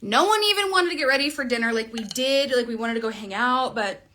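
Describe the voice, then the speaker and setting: Bright, slightly high-voiced woman in her thirties, chatty live makeup host on a phone camera. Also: a little fast, a little hiss or hum. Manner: chatty, bubbly, talks while applying makeup. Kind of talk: casual livestream makeup tutorial. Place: small indoor room at home. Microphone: phone camera at arm's length.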